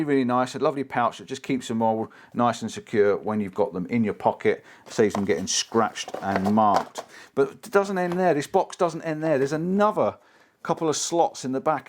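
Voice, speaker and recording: A man, chatting casually through an unboxing, upbeat and prone to repeating himself. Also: mid-range voice. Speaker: gear reviewer.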